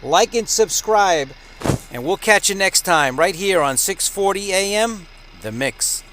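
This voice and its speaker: Guy w slightly high voice doing a radio-show style gear review, chatty presenter talk, noisy background. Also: lively intonation, unhurried.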